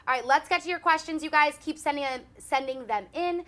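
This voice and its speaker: A woman's voice: high voice, brisk, bright, forceful tone. Chatty young woman host. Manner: chatty, giggly, lots of "um" and "like". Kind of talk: casual fan chat.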